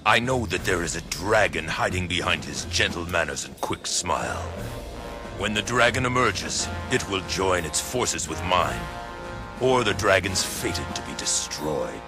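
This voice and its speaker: An ambitious, proud warlord, with a low voice that moves expressively up and down. He sounds grand, calculating and authoritative, in a game cutscene with music underneath. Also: lively intonation, measured pace. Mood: grand, commanding. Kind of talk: video game cutscene acting. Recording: dramatic game music behind.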